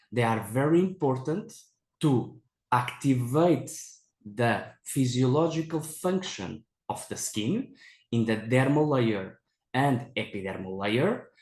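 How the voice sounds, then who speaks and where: Male Portuguese-accented doctor, mid-pitched, presenting to peers; unhurried, halting non-native delivery, roomy sound. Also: a little mellow. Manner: halting, repetitive non-native English phrasing. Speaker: dermatologist giving a presentation. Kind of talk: medical conference lecture. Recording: echoey presentation room.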